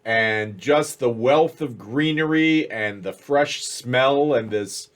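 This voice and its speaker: A man with a cold, narrating a tabletop game as dungeon master in a slow, measured way. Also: mid-range voice. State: has a cold.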